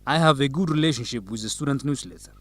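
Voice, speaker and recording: An actor playing a smooth, evasive male student politician in an educational radio drama, speaking in a measured, insistent, diplomatic way. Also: medium pitch, expressive, dry recording, lightly acted. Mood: smoothly insistent.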